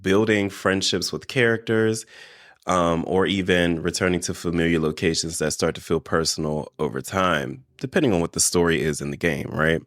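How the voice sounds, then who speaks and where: A man's voice: sits low, steady tone, normal speed, a bit bright. A man hosting a casual solo podcast, congested from allergies and a little nasal, chatting directly to his listeners. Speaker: podcast host.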